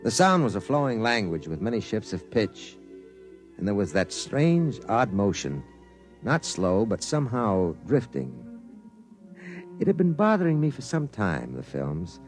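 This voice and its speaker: A man's voice: fairly low pitch, very animated, a little slow. A jaded 50s newsman telling the story, world-weary, voice moves a lot, music faint in back. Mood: narrating. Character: jaded male newspaper reporter telling the story. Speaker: narrator and reporter. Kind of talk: 1950s radio drama narration.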